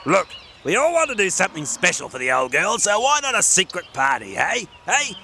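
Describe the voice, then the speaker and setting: A man's voice: sits high, wildly swooping pitch, a little fast, pushed and bright, a little hiss or hum, voice pushed up, heavily acted. A man voicing a small, bossy male gnome who growls and barks out orders. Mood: bossy shouting. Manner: gruff, barking orders.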